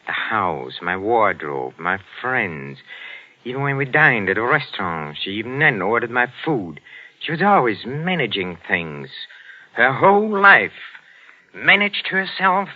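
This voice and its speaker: A henpecked middle-aged professor, with widely swinging intonation and a hesitant, mannered delivery. It is old radio drama acting on a dull vintage recording. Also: male voice, mid-range voice, very animated, measured pace. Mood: musing, bitter. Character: meek henpecked old botany professor, quietly rebellious.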